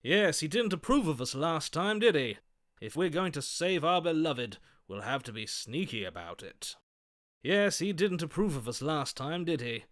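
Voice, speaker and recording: An actor playing a gallant, lovestruck romantic in a video game, florid, vain and grandly theatrical. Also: male voice, a little high, big pitch swings, moderate pace, fairly bright tone. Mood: conspiratorial. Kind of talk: video game character acting.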